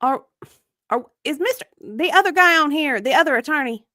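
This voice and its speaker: Casting: woman performing indignant female deposition witness, evasive, insistent, lively swooping intonation, close dry sound. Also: high voice, moderate pace, dry recording. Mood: impatient. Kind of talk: dramatic reading of a deposition transcript.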